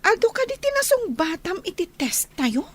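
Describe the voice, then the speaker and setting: A chatty young classmate in a radio drama. The voice is very high and youthful, with an Ilocano accent. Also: female voice, sing-song, normal speed. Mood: lamenting. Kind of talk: Filipino radio drama acting.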